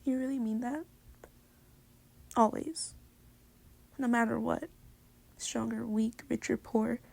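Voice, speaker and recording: Girlfriend roleplay , female performer: fairly high voice with vocal fry, intimate and teasing, hesitant, breathy whispered asides. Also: steady tone, takes it slowly, a little mellow, heavily acted. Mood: hesitant, vulnerable, then tender. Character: playful, affectionate girlfriend, secretly insecure. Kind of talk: intimate roleplay audio, pillow talk.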